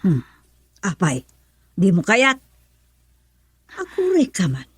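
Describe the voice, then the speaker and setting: A woman's voice: medium pitch, wildly swooping pitch, slow pace, slightly rough, dry recording, heavily acted. A woman playing a pushy, scheming mother in a radio drama, speaking insistently with an Ilocano accent. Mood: nagging, insistent. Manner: nagging, insistent. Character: pushy, scheming mother urging her daughter to marry money.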